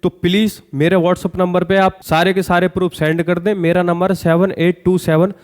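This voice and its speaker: A man's voice: high voice, little pitch movement, normal speed, a little mellow. A man giving earnest, pointed commentary straight to viewers as an exam-news YouTuber, often posing rhetorical questions. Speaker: exam-news YouTuber addressing job aspirants.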